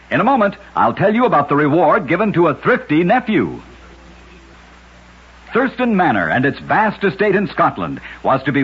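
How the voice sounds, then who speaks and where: Husky, animated American radio storyteller, male. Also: a little high. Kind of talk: old-time radio scripted narration of oddities. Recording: muffled old radio recording.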